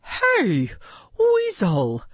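A bossy female heron from a storybook, played by a woman. She scolds in a voice pitched sharply upward, with big swings of tone. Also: huge pitch swings, very slow, husky, no room sound, heavily acted. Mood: scolding.

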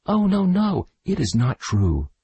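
A woman's voice: low-pitched, sing-song, a little slow, dark tone, slightly rough, no echo, highs cut off, voice pushed up, heavily acted. A man voicing a frightened, coquettish young maid who pleads and trembles in a story reading. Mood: anguished.

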